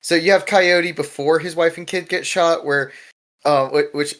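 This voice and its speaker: A man's voice: on the high side, fairly even intonation, normal speed, a bit bright. A man hosting a casual discussion podcast, talking in an informal, rambling way with plenty of swearing. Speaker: podcast host.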